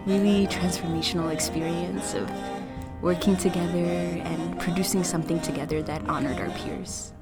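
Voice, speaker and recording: A young woman student from Ethiopia, talking about her studies and experiences thoughtfully, with fillers and pauses. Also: fairly low pitch, steady tone, loud music behind the voice. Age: young adult. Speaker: student interviewee. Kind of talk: casual interview.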